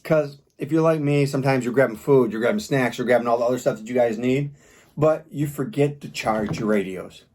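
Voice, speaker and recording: A man's voice: medium pitch, brisk, a little mellow. A man giving a casual, unscripted product review in a plain-spoken, rambling, informal way. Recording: clear background noise with clicks.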